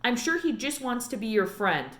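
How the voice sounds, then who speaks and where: Woman reading as a grave, measured preacher dad, roomy. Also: fairly high pitch, very animated, fairly bright tone. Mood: gently stern. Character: reserved, grave preacher father, gently stern. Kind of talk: storybook read-aloud character dialogue.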